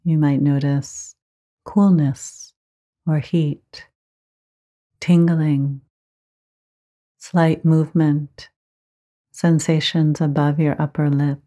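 A woman teaching guided meditation, giving gentle, measured instructions with frequent pauses. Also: low-pitched, flat delivery.

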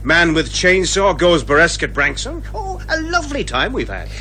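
An actor playing a jovial, boastful, garrulous Irish police inspector in a radio detective comedy. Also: male voice, high voice, moderate pace, a little hiss or hum, full character voice. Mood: harried. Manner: garrulous, excitable.

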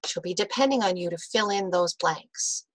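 A woman playing a kindly, frank veteran nurse in a period radio mystery, reassuring and measured. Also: fairly low pitch, dry recording, lightly acted, rough recording. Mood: grave, careful. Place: indoor video call.

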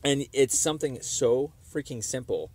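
A man making a phone call, explaining a complaint in a halting, wordy, circling way. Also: medium pitch, a little mellow, husky. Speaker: caller. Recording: noisy phone call recording.

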